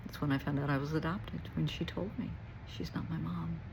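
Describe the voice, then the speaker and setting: A woman's voice: low voice, fairly even intonation, a little fast, gravelly, noisy background. A middle-aged woman giving a reflective personal talk to listeners, earnest and emphatic, repeating short phrases for weight. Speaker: therapist and nurse.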